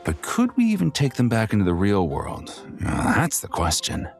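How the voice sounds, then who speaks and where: A man's voice: on the low side, huge pitch swings, moderate pace, vocal fry, faint music behind, full character voice. A man playing a friendly, chatty adventurer in a fantasy video game, with a casual, rambling manner. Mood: casual, musing. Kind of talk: video game character acting.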